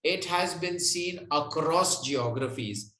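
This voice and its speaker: A man's voice: fairly high pitch, slow pace, sharp tone. A man answering interview questions as a guest, explaining conversationally and often checking in with the listener. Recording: roomy, echoey sound.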